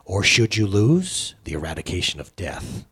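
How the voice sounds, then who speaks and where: A man voicing a solemn, defiant dying holy man who speaks in measured challenges and commands in a dramatic religious story. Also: deep voice, big pitch swings, vocal fry, a bit bright, breathy edge, voice pushed down, heavily acted. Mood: quiet defiance.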